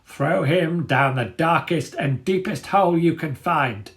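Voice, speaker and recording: A man's voice: a little high, unhurried, pitched above the performer's own voice. A man voicing a cruel, menacing war dog who barks scornful orders in a storybook reading. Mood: commanding anger. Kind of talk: dramatic storybook character reading.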